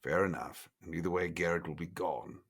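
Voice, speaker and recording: A man's voice: low-pitched, brisk, creaky, husky, voice pushed down, heavily acted. A man playing a genteel, droll old vampire schemer in a tabletop game, fussy and mock-polite with hesitant phrasing. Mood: gleefully sly.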